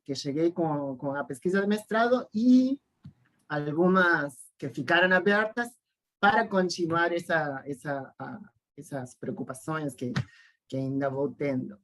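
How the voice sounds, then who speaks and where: A speaker from Argentina with a Spanish accent giving an informal academic talk on a video call, halting and repeating words. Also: mid-range voice. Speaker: guest lecturer, academic and activist. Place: online Zoom call.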